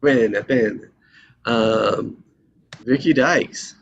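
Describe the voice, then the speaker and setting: A man's voice: medium pitch, very slow, muffled recording. A man hosting an online table read in a casual, chatty style, speaking over a video call. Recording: video call with clear background noise.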